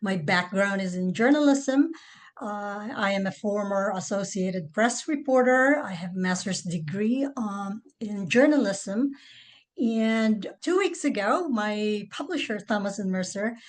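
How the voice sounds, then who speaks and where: A woman's voice: medium pitch, animated, measured pace. A middle-aged or older woman from the Philippines with a Filipino accent, answering interview questions as an author. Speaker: author, podcast guest.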